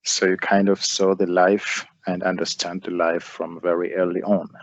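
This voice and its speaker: A man hosting an interview, affirming the guest and pausing on frequent uh fillers. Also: sits low, little pitch movement, no room sound, muffled recording. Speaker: interviewer host. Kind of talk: casual interview talk.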